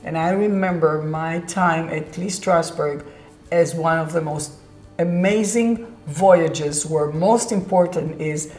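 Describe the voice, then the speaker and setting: A woman in her sixties with an Israeli accent, calmly recounting her own life journey in an earnest, measured way. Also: deep voice. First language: Hebrew. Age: 60s. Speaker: presenter telling her life story. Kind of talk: reflective personal narration. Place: indoor studio set with curtain backdrop. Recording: roomy echo with faint background music.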